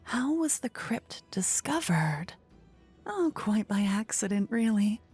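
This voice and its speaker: A woman playing a playful, regal fantasy queen in a soft-spoken, breathy ASMR roleplay, languid and coy, often slipping into whispers close to the microphone. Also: medium pitch, sing-song, slow pace, soft background music, heavily acted. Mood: measured, amused. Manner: languid, coy, flirtatious, courtly. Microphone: close ear-to-ear microphone.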